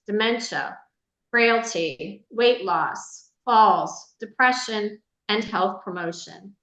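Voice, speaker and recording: A woman's voice: medium pitch, a bit bright. A woman hosting a webinar formally reads out a speaker's biography as an introduction, on dull, roomy audio.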